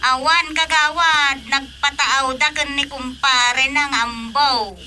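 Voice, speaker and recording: A woman's voice: sits high, fairly even intonation, slow, bright, forceful tone, slight background noise, heavily acted. A woman acting an anxious, nagging fisherman's wife in an Ilocano-accented radio drama comedy, pleading and scolding. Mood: polite, uneasy. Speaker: voice actor.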